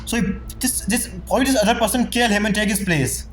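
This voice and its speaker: A man reacts to a game story in a loose, casual way, swearing often. His voice is very high for a man. Faint game music plays under him. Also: quick pace.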